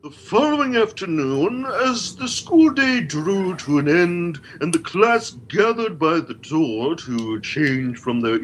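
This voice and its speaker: A man playing a vain, pompous gentleman storyteller in a comedy radio play, with a grandiose, florid delivery. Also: fairly high pitch, a little hiss or hum, poor audio.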